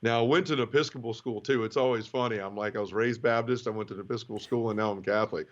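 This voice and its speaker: A man from Memphis with a Southern accent, telling his life story as an interview guest in a relaxed way, with easy laughs. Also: mid-range voice, rapid-fire, highs cut off. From Memphis, Tennessee. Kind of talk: personal testimony in conversation.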